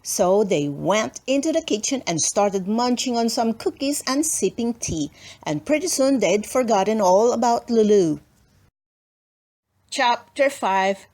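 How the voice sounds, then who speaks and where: A woman narrating a children's storybook expressively, with dramatic emphasis and playful asides. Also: on the high side. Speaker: storybook reader.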